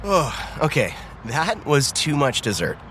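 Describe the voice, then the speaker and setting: An actor playing an easygoing romcom love interest in a naturalistic audio drama, casual and dry in manner. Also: male voice, fairly high pitch, very animated, unhurried, fairly bright tone, faint music behind. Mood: overfull, easygoing. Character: easygoing romcom man on a sightseeing date.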